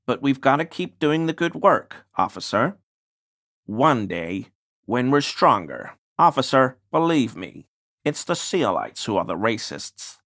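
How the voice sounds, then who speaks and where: A man's voice: on the high side, a bit bright, no room sound, studio quality, heavily acted. An actor playing a paranoid, furtive deliveryman in a video game, conspiratorial and evasive, deferential yet smug. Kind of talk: video game character dialogue.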